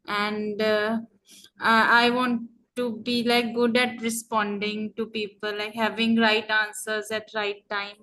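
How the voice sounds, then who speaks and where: A middle-aged woman on a video call, sharing about herself in an online class, halting and full of fillers, with a monotonous voice. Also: medium pitch, a little hiss or hum, rough recording. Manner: halting, many fillers and pauses. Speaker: workshop participant.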